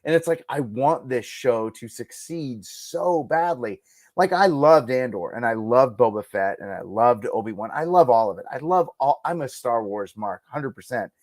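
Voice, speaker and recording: A man's voice: mid-range voice, lively intonation, moderate pace, dry recording. A middle-aged man chatting casually as a podcast guest, earnest and emphatic, repeating himself for stress. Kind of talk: casual fan discussion.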